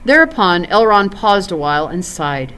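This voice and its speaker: A woman reading a storybook narration aloud as a volunteer, in an expressive, measured literary manner. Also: lowish voice, smooth.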